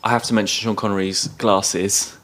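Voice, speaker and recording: A man's voice: lowish voice, flat delivery, moderate pace, fairly bright tone. A man co-hosting a podcast, casually retelling a film's plot in a hesitant, loosely conversational way. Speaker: podcast co-host.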